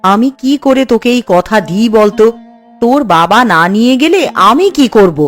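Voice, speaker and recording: A fretful cartoon housewife acted by a woman with a fairly high voice. She scolds and coaxes expressively, with music in the background. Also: moderate pace, natural voice. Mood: exasperated. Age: adult. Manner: exasperated, coaxing, expressive. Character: fretful, scolding housewife and doting mother of a boy. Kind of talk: cartoon voice acting.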